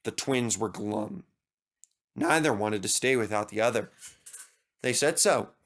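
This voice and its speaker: A man's voice: on the low side, a little slow, a bit bright. A man narrating a scripted children's story.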